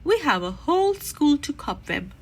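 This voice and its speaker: A woman voicing a boisterous, excitable father bear in a storybook with an emphatic, hammy delivery. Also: high voice, normal speed. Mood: busy, excited.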